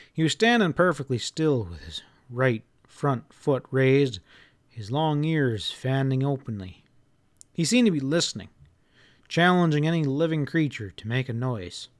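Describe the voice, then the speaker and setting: A man reading an audiobook as a first-person narrator, in an expressive, folksy storytelling manner. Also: mid-range voice.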